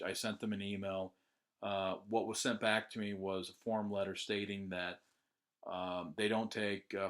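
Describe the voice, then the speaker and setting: Low-voiced American man, chatty and hesitant. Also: a bit bright. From United States. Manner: conversational, with frequent "uh" and "um" pauses. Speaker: job candidate sharing a testimonial. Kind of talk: casual first-person testimonial.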